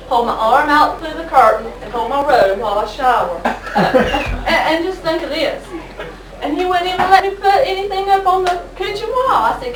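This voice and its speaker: A woman playing a sarcastic, exasperated wife who gripes comically about her husband in a stage sketch. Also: high-pitched. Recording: echoey hall from far, audience.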